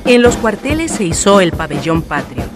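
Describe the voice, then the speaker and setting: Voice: mid-range voice, big pitch swings, normal speed, over loud music. A narrator delivering scripted historical storytelling for a radio drama.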